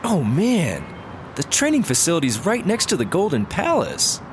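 A man's voice: on the high side, wildly swooping pitch, normal speed. A man playing a laid-back, perk-loving startup slacker who is eager to please, in a comedy audio drama. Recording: background ambience and noticeable noise.

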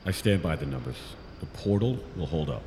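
A man's voice: a bass voice, lively intonation, fairly quick, dark tone. A man playing a cautious, wry scientist in charge of a team in an audio drama. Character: cautious, dry-humoured male scientist team leader. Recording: long reverb, faint background noise.

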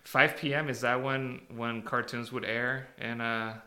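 A man with a bright, forward voice hosts a casual podcast. He talks informally and banters with his co-host at a medium pitch. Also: level tone, a little slow.

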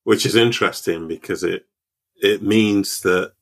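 A man's voice: on the low side, measured pace, gravelly. A man hosting an interview, affirming his guest often and asking reflective follow-up questions. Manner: encouraging, affirming with frequent backchannels. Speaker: podcast host. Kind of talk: interview conversation.

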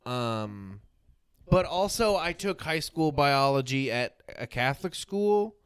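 A person with a deep voice hosting a casual podcast, talking informally and searching for words aloud. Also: animated, slow, clean tone, no room sound. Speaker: podcast host.

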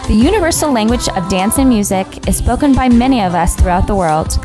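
A woman's voice: a little high, lively intonation, brisk. Woman announcing a podcast intro over music. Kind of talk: scripted promotional narration. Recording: music bed under voice. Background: clear music.

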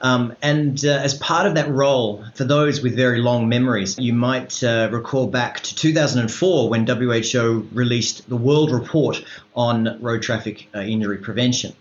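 An Australian man giving formal interview answers as an expert advisor, in a halting, measured way with careful official wording. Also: medium pitch, steady tone. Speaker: road safety regional advisor, interview guest. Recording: roomy echo, dull lo-fi sound.

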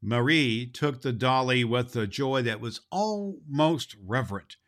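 A man narrating a children's storybook in an expressive, scripted reading style. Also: on the low side.

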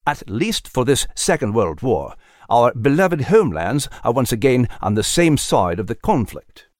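A man's voice: medium pitch, sing-song, normal speed, darkish tone, no room sound, clean studio sound, lightly acted. A man voicing a scholarly, dapper British mouse with a British accent and florid, slightly pompous diction in a storybook. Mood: solemn, declaiming.

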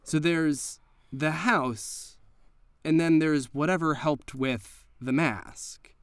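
A man narrating a tabletop horror game as game master, setting scenes in a deliberate, cinematic way. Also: a little high, clean tone, dry recording, studio quality.

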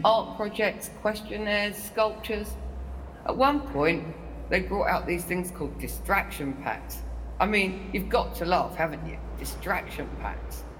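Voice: mid-range voice, in a large hall, slight background noise, rough recording. An actor playing a wry, caring prison worker. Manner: chatty, wry, animated in anecdotes. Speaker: prison staff. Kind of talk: audio drama monologue.

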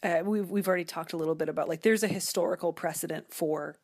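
Woman, kinda low voice, thinking out loud in a halting way, interview guest, very dry sound. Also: no room sound. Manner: thoughtful, halting, discursive. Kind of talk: conversational literary and cultural commentary.

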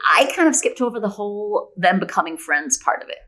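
A woman's voice: medium pitch, lively intonation, fairly quick, fairly bright tone. A woman speaking as an author guest in a casual interview, chatty and enthusiastic.

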